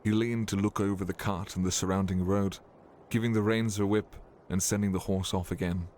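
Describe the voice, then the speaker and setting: A man narrates a scripted fantasy tale as the storyteller. His voice is very low in pitch. There is faint noise in the background. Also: fairly even intonation, fairly quick, a little mellow.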